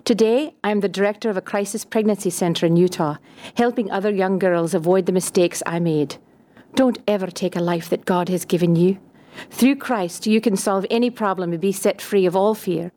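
A woman's voice: medium pitch, moderate pace, warm tone. A woman from Scotland narrating a scripted personal testimony.

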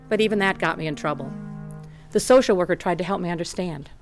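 A woman's voice: on the low side, fairly quick, soft background music, close to an everyday voice. An actress narrating as a tough, candid woman recounting a troubled childhood in a scripted radio drama. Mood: reflective.

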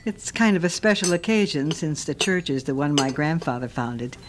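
An actress playing a lively, breezy young mother in a radio drama. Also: sits low, moderate pace, noisy background.

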